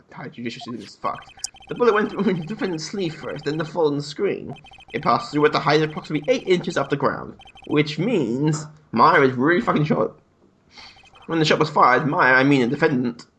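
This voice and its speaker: A man's voice: a little high, expressive, a little fast, fairly bright tone, soft background music. Irreverent young man playing a game. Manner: irreverent, crude, laughing often. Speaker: let's play host. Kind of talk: joking game commentary and reading dialogue aloud.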